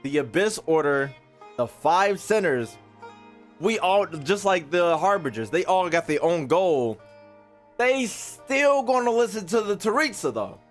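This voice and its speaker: A man streaming casually to his chat, profane and slangy, thinking out loud as he talks. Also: high voice, sing-song, unhurried, soft background music. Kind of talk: casual live commentary to chat.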